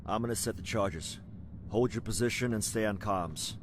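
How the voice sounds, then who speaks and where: An actor playing a steady, businesslike special forces soldier, speaking in clipped, procedural military talk in a video game. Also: male voice, on the low side, moderate pace, background noise, lightly acted. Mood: focused, controlled. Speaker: field soldier.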